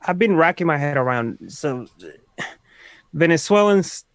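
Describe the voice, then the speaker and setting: A man appearing as a musician guest on a podcast, talking frankly in an animated, casual way and swearing freely. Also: a little high, a little slow, clean tone, dry recording, studio quality.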